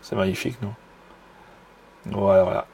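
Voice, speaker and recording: Gravelly, hesitant Belgian man. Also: low-pitched, fairly even intonation, normal speed, warm tone, slight background noise. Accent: Belgian French. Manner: hesitant, many fillers and restarts; enthusiastic, admiring. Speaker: knife hobbyist vlogger. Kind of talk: casual video review.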